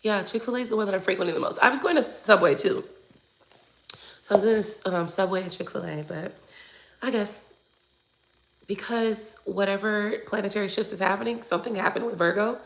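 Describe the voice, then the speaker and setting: A woman in her forties chatting candidly with viewers on a live stream. She swears casually, and she is sick, so she keeps clearing her throat and coughing. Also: medium pitch, fairly quick, low-quality recording. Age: 40s. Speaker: tarot reader hosting a live stream. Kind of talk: casual chat to viewers, reading comments.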